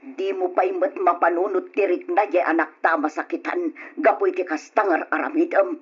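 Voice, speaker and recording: A woman's voice: on the low side, steady tone, normal speed, slightly rough. A woman playing a protective middle-aged mother in a radio drama, earnestly reproving her husband. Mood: reproachful. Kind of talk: Ilocano radio drama acting. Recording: dull lo-fi old radio recording.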